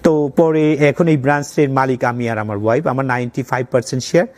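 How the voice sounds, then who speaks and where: A man's voice: mid-range voice, fairly even intonation, fast, darkish tone. A man with a Bangladeshi accent telling his own story conversationally as an interview guest, mixing in English words. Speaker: interview guest, college owner.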